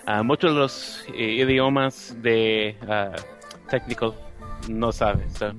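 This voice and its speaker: A man's voice: lowish voice, steady tone, a bit bright, faint music behind. A man whose first language is English, speaking hesitant Spanish with a Texan accent as an interview guest. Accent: American, Texan Spanish. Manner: halting, searching for words. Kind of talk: casual interview.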